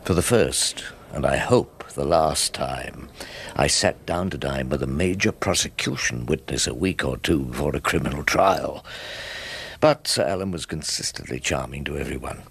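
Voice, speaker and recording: A man's voice: very deep voice, normal speed, vocal fry, a bit bright, husky, exaggerated voice. A man playing a wry, world-weary older English barrister in a radio drama, speaking and narrating in a dry, droll, literate way. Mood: wry narration. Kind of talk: radio drama dialogue and first-person narration.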